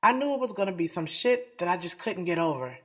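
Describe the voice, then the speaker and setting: A woman's voice: fairly low pitch, lively intonation, brisk, fairly bright tone. An actress playing a blunt, guarded, selfish woman who tells her own love story in slangy, profane audio drama. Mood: impatient. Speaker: narrator and lead of an audio drama. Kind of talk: first-person confessional audio drama, slangy.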